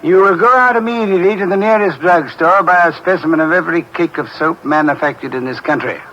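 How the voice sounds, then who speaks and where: An actor playing a pompous, sharp-witted detective in a 1940s radio drama, speaking in a dry, sardonic, imperious way. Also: male voice, a little high, fast, lo-fi sound, heavy background noise, natural voice. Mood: lecturing.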